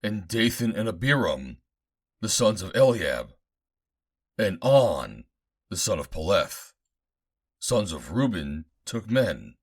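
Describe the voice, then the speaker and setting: A man's voice: low-pitched, big pitch swings, takes it slowly, long pauses, husky. A man reading scripture as dramatic narration in a solemn, emphatic, declamatory manner. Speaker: Bible reader.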